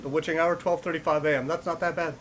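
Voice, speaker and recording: A man's voice: fairly high pitch, fast talker, very noisy. A man giving casual reaction commentary as he exercises, a little short of breath, reading things aloud and commenting on them. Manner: animated, reads on-screen text aloud then reacts; exercising on a bike while talking. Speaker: YouTuber reacting to horror videos.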